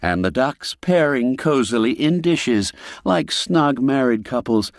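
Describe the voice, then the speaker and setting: A man narrating a classic tale as a dramatic audiobook, theatrical and savouring each phrase with pauses. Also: medium pitch, animated, no room sound, clean studio sound. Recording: music under the voice. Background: music.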